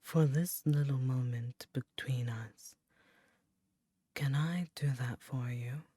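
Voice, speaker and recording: A woman voicing an ancient succubus queen, tender and soothing, in a soft, breathy, intimate ASMR roleplay. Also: very deep voice, no room sound, exaggerated voice. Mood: tender, solemn. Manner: slow, intimate, reassuring.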